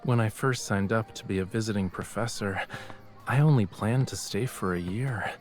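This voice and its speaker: An actor playing a soft-spoken young artist and professor in a game, reflective and gentle, with pensive sighing delivery. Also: male voice, fairly low pitch, moderate pace, faint music behind, natural voice. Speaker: video game character voice actor. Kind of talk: intimate dramatic game dialogue.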